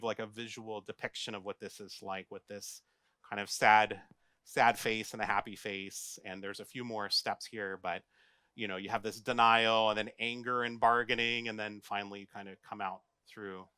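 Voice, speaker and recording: A man's voice: on the low side, steady tone, moderate pace, pushed and bright, smooth, no room sound. Chatty American man giving a tech talk. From United States. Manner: chatty, jokey, asks the audience questions. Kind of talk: casual conference talk. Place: office meeting room, live audience.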